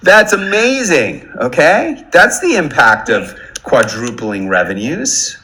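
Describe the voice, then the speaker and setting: A man hosting a webinar, excited and animated as he talks. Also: on the high side, slow pace.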